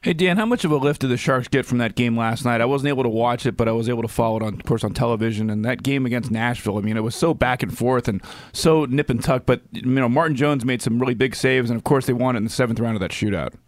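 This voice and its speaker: A man hosts a casual sports radio interview, talking very quickly in a mid-pitched voice. The recording is dry, with no room sound. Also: no room sound.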